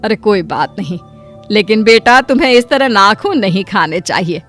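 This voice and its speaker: A woman voicing a kindly middle-aged auntie in a cartoon moral story, advising in a gentle, motherly way. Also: medium pitch, lively intonation, moderate pace, soft background music.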